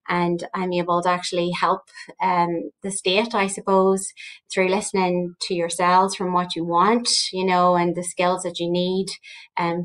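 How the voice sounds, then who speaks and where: A woman from Northern Ireland with a Northern Irish accent, speaking as a guest on a panel discussion about skills research, with a hesitant delivery full of filler sounds. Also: fairly low pitch.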